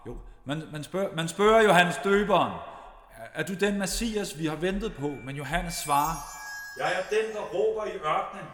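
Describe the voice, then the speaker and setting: Flustered priest in echoing church. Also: male voice, high voice, animated, fairly bright tone. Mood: preaching. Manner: stammering, fussy. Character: flustered, hesitant, indiscreet male parish priest. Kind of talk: comic radio drama acting. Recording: echoey reverberant church sound.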